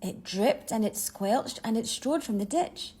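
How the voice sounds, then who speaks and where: A woman reading a rhyming children's picture book aloud with expressive, dramatic storytelling. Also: on the high side, a little slow, slight background noise.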